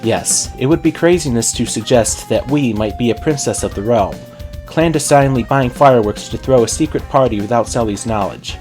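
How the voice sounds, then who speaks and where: A man's voice: mid-range voice, brisk, a little mellow, soft background music, natural voice. A man voicing a cloaked pony princess in clumsy disguise, regal and nervous, with formal, archaic speech in a storybook reading.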